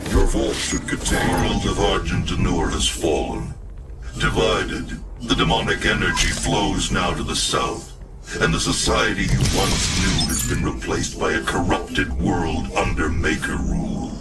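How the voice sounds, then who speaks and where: A man playing a grave, portentous sage who guides a warrior in a video game, with a gravelly voice. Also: deep voice, a little slow, loud music behind the voice. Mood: solemn. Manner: solemn, portentous. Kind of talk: scripted video game cutscene narration. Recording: distant voice under loud game score.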